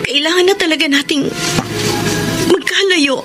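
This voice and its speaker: Radio drama heroine: young mother, emotional and insistent, extremely high voice against prominent loud music. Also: moderate pace. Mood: troubled, insistent. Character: guilt-ridden young mother, firmly leaving a devoted man. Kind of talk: Tagalog radio drama acting.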